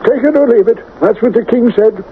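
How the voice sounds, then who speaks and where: A polite finance minister, very high voice, tinny old radio sound. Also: male voice, fairly quick, slightly rough, exaggerated voice. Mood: persuasive. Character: suave male government minister of finance. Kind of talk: 1970s radio acting.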